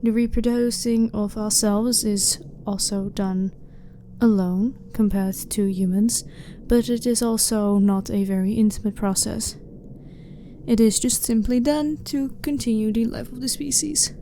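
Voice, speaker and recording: A woman playing a blunt, clinical but harmless alien scientist in an ASMR sci-fi roleplay, speaking in a formal, measured, matter-of-fact way. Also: a little high, fairly even intonation, mellow. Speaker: ASMR voice performer. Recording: clearly noisy ambient background.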